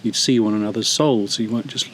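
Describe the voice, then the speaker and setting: A man's voice: fairly low pitch, quick pace, a little hiss or hum. A man in his fifties recalling his childhood, thoughtful and hesitant, with frequent fillers and restarts. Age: 50s. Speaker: interviewee sharing memories. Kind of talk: reflective personal testimony.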